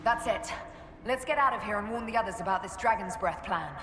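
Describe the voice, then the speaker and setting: A woman's voice: mid-range voice, a little fast, sharp tone, slight background noise, natural voice. An actress playing a resolute, wry female inquisition leader in a fantasy video game. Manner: decisive, wry. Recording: hall reverb with music behind.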